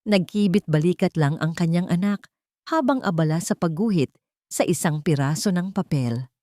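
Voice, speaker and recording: Female Filipino storyteller, lower register, scripted drama narration, close dry recording. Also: expressive, normal speed, dark tone, dry recording.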